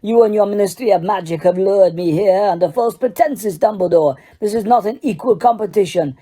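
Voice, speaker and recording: A woman's voice: mid-range voice, fairly quick, dark tone, close to an everyday voice. A woman doing a proud, indignant headmaster. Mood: accusing. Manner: indignant, accusing. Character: agitated male foreign headmaster, proud and suspicious.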